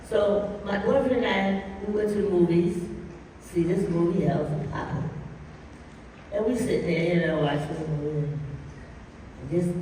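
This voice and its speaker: An older woman from Harlem, recounting her dance memories as a guest on a panel, with lively laughing energy. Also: fairly low pitch. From Harlem, New York. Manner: lively, animated storyteller, often laughing. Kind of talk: storytelling on a panel. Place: large hall at a dance camp. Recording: echoey hall, distant mic, faint noise.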